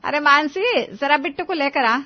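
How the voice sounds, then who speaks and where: Woman acting a bossy, doting mother-in-law, higher-pitched. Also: animated, normal speed, muffled recording. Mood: proud, commanding. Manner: scolding, exclamatory, commanding. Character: bossy, doting middle-aged Indian mother-in-law and grandmother. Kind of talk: Hindi family moral story dramatization.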